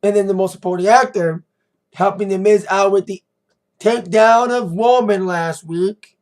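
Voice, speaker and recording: An American man with a slightly hoarse voice, giving a rambling, informal and emphatic recap as a wrestling review vlogger. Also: high-pitched, steady tone, normal speed. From United States. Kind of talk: casual recap commentary to camera.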